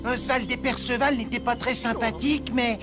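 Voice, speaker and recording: A woman's voice: fairly high pitch, fairly even intonation, normal speed, over music, lightly acted. A woman voicing a small, playful, dreamy sidekick creature in a cartoon, with a laid-back manner. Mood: easygoing, playful. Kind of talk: cartoon voice acting.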